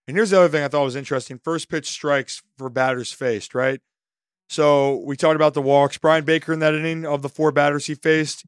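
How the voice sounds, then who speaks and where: A man co-hosting a sports podcast, his voice slightly hoarse, talking through pitching stats and game analysis in animated bursts. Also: dry recording, clean studio sound. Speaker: co-host.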